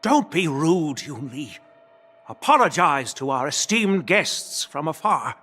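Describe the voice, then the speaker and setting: Elderly male general, slow ceremonious delivery, expressive intonation, stern grandfatherly authority, game character, music behind. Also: on the high side, heavily acted. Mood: stern reproach. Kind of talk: video game voice acting.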